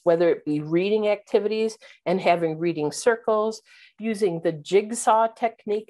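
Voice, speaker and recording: Middle-aged woman presenter, slightly low voice, webcam talk, coaching tone with ums. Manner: instructive, fillers like um and uh, asks "right?". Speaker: keynote speaker on teaching. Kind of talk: webinar presentation. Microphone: video call webcam.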